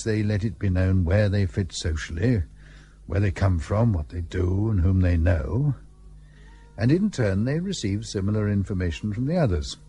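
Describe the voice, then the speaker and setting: An actor playing a hearty, pompous British colonial host with old-fashioned clipped phrasing in a period radio play. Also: male voice, on the low side, mellow, slight background noise. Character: blustery, sociable British colonial husband and host.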